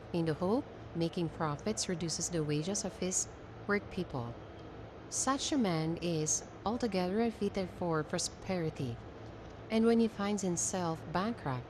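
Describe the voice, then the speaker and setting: A woman reading an old-fashioned essay aloud as narration, in formal, measured phrasing. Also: on the low side, noisy background. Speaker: reader of a self-help text.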